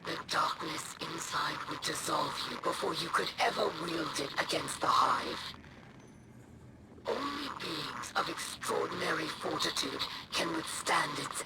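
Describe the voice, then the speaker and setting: An actor playing a stern, haughty commander in a video game, warning an underling in an imperious, deliberate way on an intercepted transmission. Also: mid-range voice, natural voice. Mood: stern, scornful. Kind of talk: video game character dialogue. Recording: heavy ambient noise, intercepted transmission.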